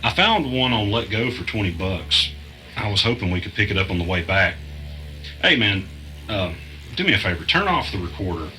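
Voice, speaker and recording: A man's voice: fairly low pitch, big pitch swings, moderate pace, bright, forceful tone, faint music behind, full character voice, poor audio. A man playing a frazzled, suspicious man hounded by a conspiracy, tense and weary in naturalistic audio drama dialogue.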